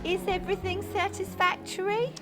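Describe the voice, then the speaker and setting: A woman's voice: very high voice, steady tone, normal speed, over music. A woman playing a polite, coy waitress in an audio drama, courteous and deferential.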